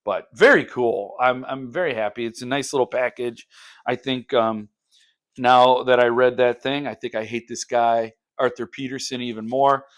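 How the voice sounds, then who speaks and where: A man hosting a book vlog, talking informally and haltingly as he thinks aloud. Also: mid-range voice, fairly even intonation. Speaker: host of a book unboxing channel. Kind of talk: casual unscripted book vlog chat.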